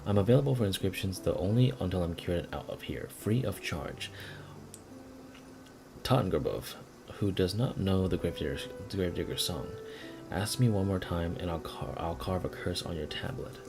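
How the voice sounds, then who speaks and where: A man voicing a proud, matter-of-fact tombstone carver's letter in a video game, in a measured, businesslike way. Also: sits low, lively intonation, vocal fry, music in the background. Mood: dryly menacing.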